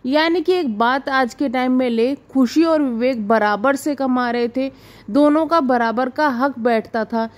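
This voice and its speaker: High-pitched woman giving gossip commentary. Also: a little slow. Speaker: reaction video host. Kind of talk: informal gossip commentary to viewers.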